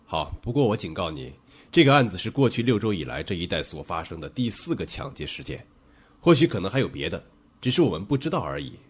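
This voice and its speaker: An actor playing an earnest, dutiful police detective in an audio suspense drama, measured and official in manner. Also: male voice, deep voice, very animated, breathy edge, full character voice. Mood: serious, cautioning.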